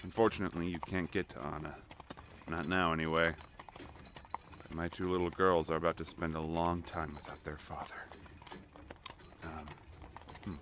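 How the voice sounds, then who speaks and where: A deep-voiced, wry man of a fantasy world acted by a man, a bored father on the road. Faint carriage and horse noise runs behind him in this audio drama. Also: flat delivery, moderate pace, long pauses, close to an everyday voice. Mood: wry, musing. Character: bored, wry chained-convoy companion, a worried father.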